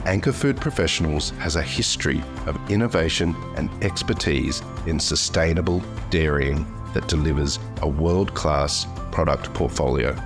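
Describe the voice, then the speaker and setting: A man reading a scripted sponsor advertisement in a measured, smooth delivery. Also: extremely low pitch. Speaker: ad voice-over. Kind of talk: scripted ad read. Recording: clear guitar music bed.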